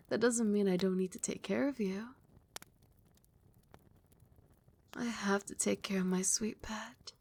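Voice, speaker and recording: A woman playing a regal, possessive yet tender vampire queen in an ASMR roleplay, speaking softly and soothingly and often slipping into breathy whispers. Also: mid-range voice, natural voice. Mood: fond, possessive.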